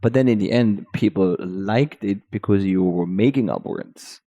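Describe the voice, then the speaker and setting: A man with a German accent who speaks German natively, talking as a guest Pilates teacher in a halting way with restarts. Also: fairly low pitch, dark tone, dull audio. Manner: halting, restarts while searching for words. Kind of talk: casual podcast conversation.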